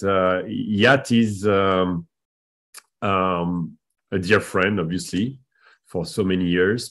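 Hesitant man hosting a video call. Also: lowish voice. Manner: hesitant, many fillers and restarts. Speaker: host of a community video call. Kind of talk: casual video-call introduction.

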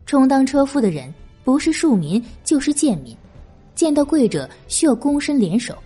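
A woman's voice: high voice, very animated, normal speed, warm tone, soft background music. A woman narrating a historical novel as an audiobook in a dramatic, scripted style.

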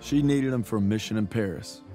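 An actor playing an earnest, folksy young American infantry private with a Southern accent in a war video game. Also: male voice, on the low side, a little fast, warm tone, soft background music, close to an everyday voice. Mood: reflective narration.

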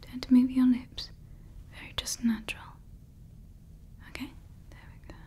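A woman voicing a scheming female evil scientist who calls herself Mother, in a slow, soft, conspiratorial whisper for ASMR roleplay on a close microphone. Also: high voice, little pitch movement. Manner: slow, intimate, conspiratorial ranting. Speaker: ASMR creator.

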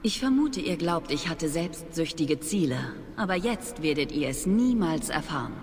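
An actress playing a proud, aloof young witch in a video game, with measured, formal, disdainful speech. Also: deep voice, very animated, darkish tone. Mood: bitter, disdainful. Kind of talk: dramatic video game dialogue. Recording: long reverb, noisy game ambience.

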